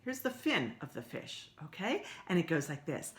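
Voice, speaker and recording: A woman's voice: low-pitched, very animated, normal speed. A woman leading a story time for young children, speaking in simple, encouraging words as she explains songs, stories and activities. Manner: simple, encouraging address to small children with repetition.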